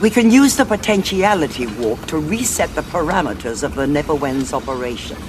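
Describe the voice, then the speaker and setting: A woman playing a cold, calculating senior Time Lord strategist in an audio drama, with a measured, imperious delivery. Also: deep voice, lively intonation, background noise. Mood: brisk, commanding.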